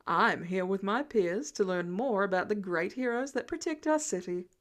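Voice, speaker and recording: A woman's voice: mid-range voice, expressive, normal speed, pitched below the performer's own voice, lightly acted. A woman voicing a smug, protective teenage heir in an audiobook-style story, with a self-assured manner. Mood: polished, dismissive.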